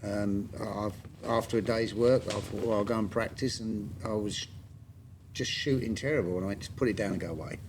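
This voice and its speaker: A man's voice: fairly low pitch, fairly quick, noisy background. A man in his sixties hosting a podcast, chatting casually with friends and often stammering into his sentences. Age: 60s. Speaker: podcast host. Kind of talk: casual chat.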